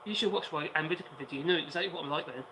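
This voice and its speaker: A man with a hoarse voice co-hosting a casual livestream chat outdoors, sarcastic and fond of rhetorical questions. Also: fairly high pitch, racing, noisy background. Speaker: co-host, video channel maker. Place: outdoors on an island, sitting on cardboard.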